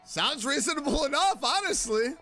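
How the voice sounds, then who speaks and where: A woman's voice: high voice, lively intonation, moderate pace, over music. A woman streamer giving casual reaction commentary while playing a game.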